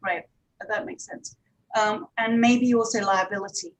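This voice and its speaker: A woman's voice: medium pitch, steady tone, measured pace, low-quality recording. A woman producer and director talking informally on a panel, in a conversational, hedging way.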